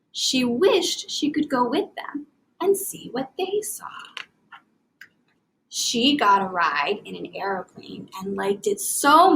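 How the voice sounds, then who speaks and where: High-voiced woman teacher addressing young children. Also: rough recording. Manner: expressive, deliberate enunciation, child-directed. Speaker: elementary reading teacher. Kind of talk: instructional read-aloud for young children.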